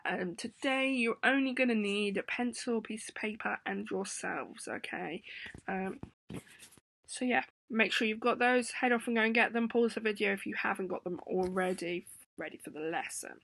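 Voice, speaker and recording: Croaky woman teaching young kids. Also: medium pitch, moderate pace, low-quality recording. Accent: English. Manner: gentle, encouraging, with many ums. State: hoarse with a sore throat. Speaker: primary school teacher. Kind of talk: remote lesson to children. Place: home room indoors. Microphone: laptop webcam.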